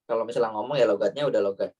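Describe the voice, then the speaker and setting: A man's voice: on the low side, racing, darkish tone, recorded from a distance, muffled recording. A man in his thirties from Indonesia with a Banyumas Ngapak accent, chatting casually as a talk show guest and using many fillers. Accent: Banyumas (Ngapak) Javanese-Indonesian. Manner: casual, with frequent fillers and "wallahu alam". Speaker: guest answering viewer questions. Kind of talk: relaxed talk show chat.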